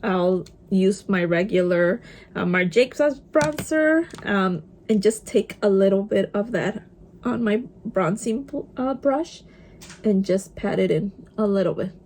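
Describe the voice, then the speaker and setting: A woman's voice: mid-range voice, lively intonation, unhurried, darkish tone, a little hiss or hum. A young woman with a Spanish accent, a native Spanish speaker, chatting casually while doing her makeup and dropping in Spanish words. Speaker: vlogger. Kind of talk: casual get-ready-with-me chat.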